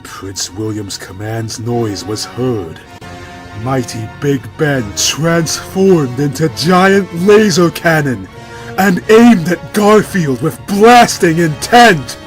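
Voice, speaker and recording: A man narrating a comic story with dramatic, deliberately stilted mock-epic phrasing. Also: fairly high pitch, animated, faint music behind.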